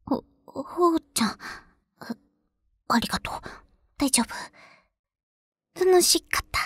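A cute young anime game girl with a very high voice. She talks slowly and softly, gentle and a little hesitant, in a close, dry recording. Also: animated, no room sound, heavily acted. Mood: shy, grateful. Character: cute young warship girls, gentle, bashful, girlish. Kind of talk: Japanese anime game voice lines.

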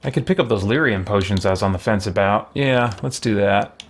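A middle-aged man giving casual gameplay commentary, thinking aloud as he deliberates over his choices. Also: on the low side. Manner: musing, deliberating out loud. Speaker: video game streamer. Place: indoor room with shelves.

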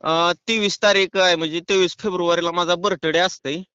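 Muffled phone call, smooth rural Maharashtrian man. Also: sits high, steady tone, fairly quick, pushed and bright, dry recording. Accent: rural western Maharashtra Marathi. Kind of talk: casual phone conversation.

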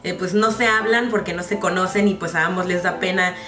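A young woman with a Mexican accent, chatting to camera in a playful, expressive way as she gives advice. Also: fairly low pitch, a little fast. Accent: Mexican Spanish. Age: young adult. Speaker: vlogger. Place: small bedroom, indoors. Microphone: camera mic at a short distance. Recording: roomy bedroom, distant camera mic, music.

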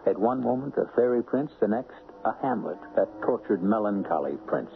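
A man's voice: on the low side, expressive, normal speed, creaky, faint music behind. A man hosting a radio drama, narrating in a musing, literary, theatrical way. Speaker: host of a radio mystery series. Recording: dull, noisy vintage radio recording.

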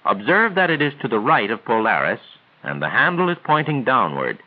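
A man reads scripted 1940s training film narration in a measured, formal, instructional way. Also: mid-range voice, big pitch swings. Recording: tinny band-limited 1940s film soundtrack.

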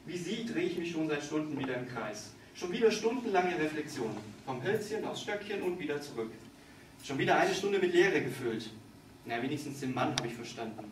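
Man reading on stage, distant and echoey. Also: fairly high pitch. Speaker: author and stage performer reading his own text. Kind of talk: literary live reading of a satirical monologue. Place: on stage in a small dark theatre. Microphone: distant camera in the audience. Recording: distant, echoey hall with background noise.

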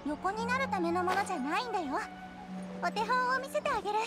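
A woman playing a gentle, wistful little girl in an anime fantasy game, with a soft childlike voice and earnest, hesitant delivery. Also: very high voice, animated, fast talker, loud music behind the voice. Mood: helpful. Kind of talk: Japanese anime game voice acting.

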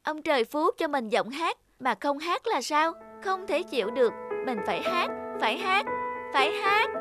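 High-pitched, expressive young songbird. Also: female voice, moderate pace. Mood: discontented, fretful. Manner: expressive, musing aloud. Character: young female oriole songbird, earnest and fretful. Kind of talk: children's cartoon acting. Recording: loud children's music behind.

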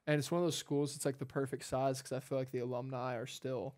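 Young man, podcast host, casual interview style, affirming, repetitive short replies, medium pitch. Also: flat delivery, quick pace, a little mellow. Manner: affirming, repeats short phrases. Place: at a dining table in a home. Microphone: headset.

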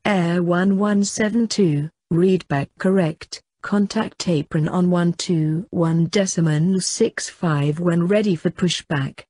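Woman for air traffic control lesson, slightly low pitch, unhurried formulaic read. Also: mellow, dry recording. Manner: measured, formulaic.

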